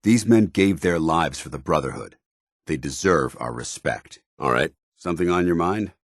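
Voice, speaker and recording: Casting: gruff, honorable military commander for a game, rasping voice, formal and earnest, very dry close recording. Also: male voice, low-pitched, lively intonation, normal speed, no echo. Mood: grim, commanding. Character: stern, duty-bound military paladin, gruff and honorable adult man. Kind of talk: video game companion dialogue.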